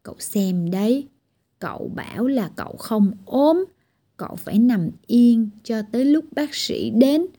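A woman plays a kind little storybook girl who scolds gently while tending a sick friend. Her tone is warm and mellow, lifted a little in pitch, and slightly breathy. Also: animated, a little slow. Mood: caring. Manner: scolding yet caring. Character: gentle, bossy blue-eyed tiny girl Mắt Xanh.

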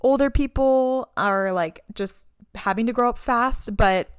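A woman's voice: fairly high pitch, a little slow, clean tone, no echo, clean studio sound. A woman in her mid twenties co-hosting a podcast, chatting in an upbeat way with lots of "like" fillers. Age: 20s. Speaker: podcast co-host. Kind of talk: casual chat.

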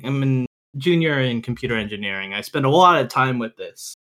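A man's voice: mid-range voice, animated, a little fast, a bit bright. Opinionated young man chatting as podcast guest. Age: young adult. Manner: informal, opinionated. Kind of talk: casual chat.

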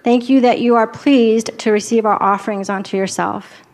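A woman's voice: a little high, fairly even intonation, normal speed, darkish tone. A woman announcing the ways to give at a church service and then leading a short offering prayer. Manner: plain, practical, then prayerful.

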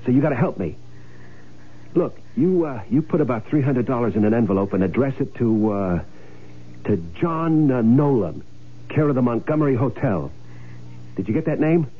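An actor playing a broke, cocky schemer in a radio drama, with a wry, hardboiled, breezy delivery, both in dialogue and first-person narration. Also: male voice, medium pitch, lively intonation, normal speed, long pauses, husky. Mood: brisk, furtive. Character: broke, cocky male schemer who faked his death. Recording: tinny radio broadcast with loud music.

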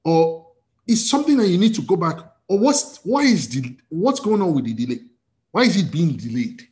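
A man's voice: sits high, big pitch swings, normal speed, darkish tone. A male pastor leading an interactive sermon over a video call, talking with many repeated restarts and emphatic rising bursts.

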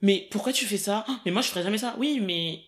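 A young woman from the Paris region in casual podcast conversation, emphatic and quick, often laughing. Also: medium pitch. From Paris region, France.

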